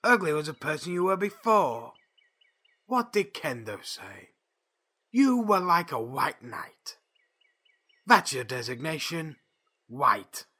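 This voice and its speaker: A man's voice: a little high, very animated, takes it slowly, long pauses, bright, forceful tone, husky, full character voice. An actor playing a blunt, pompous male sergeant who runs a spy agency in an audio drama. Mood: approving, pompous.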